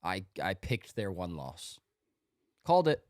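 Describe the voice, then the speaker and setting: A man's voice: sits low, very animated, unhurried, a little mellow, dry recording, clean studio sound. A man hosting a sports podcast, a little congested from a cold, running through his picks in an offhand, joking way. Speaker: podcast host. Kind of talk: casual sports podcast monologue.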